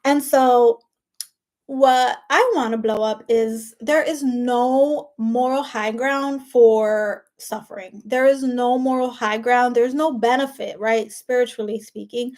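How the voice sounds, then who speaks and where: A woman's voice: a little high, a little mellow. A woman with a Spanish accent giving a casual motivational monologue as a life coach, chatty and emphatic, full of "like" and "right?". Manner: emphatic and preachy.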